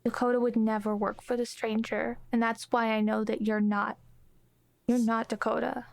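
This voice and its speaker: A woman roleplaying as a tabletop player in a hesitant, deliberate way. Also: fairly high pitch, flat delivery, gravelly, dry recording. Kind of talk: improvised in-character roleplay.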